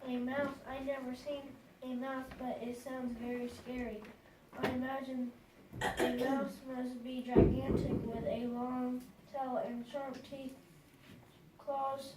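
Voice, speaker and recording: Scared elephant, woman performer, high, fairly flat, children's stage play, distant and noisy. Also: slow pace. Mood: fearful wonder. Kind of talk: children's readers' theatre performance. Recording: echoey, noisy room, distant microphone.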